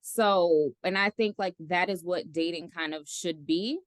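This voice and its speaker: A blunt woman in her late thirties speaks her mind on dating and swears casually. Her voice sits slightly low for a woman, and the sound is dry and close, with no room around it. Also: unhurried, dry recording. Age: 30s. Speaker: podcast guest. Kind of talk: casual opinionated chat.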